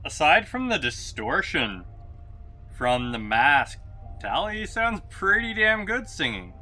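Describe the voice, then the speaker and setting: Bright-voiced man, wry game commentary. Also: on the high side, sing-song, slow, soft background music. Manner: dry, wry remarks. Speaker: streamer. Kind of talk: casual gameplay commentary.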